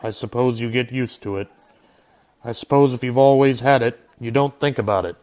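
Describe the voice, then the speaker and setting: Man playing a resigned, mid-pitched village schoolteacher. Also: normal speed, darkish tone, natural voice. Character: resigned village schoolteacher, rule-abiding outsider. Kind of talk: radio drama acting.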